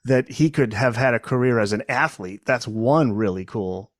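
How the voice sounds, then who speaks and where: A man's voice: medium pitch, moderate pace, no echo, studio quality. A man hosting a podcast, putting questions and comments to his guest in a casual interview style. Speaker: podcast host.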